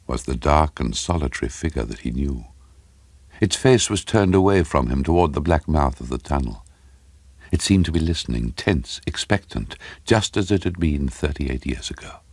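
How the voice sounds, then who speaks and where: A man giving a dramatic, brooding and deliberate scripted narration of a ghost story, as in a radio play. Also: extremely low pitch, creaky, dry recording.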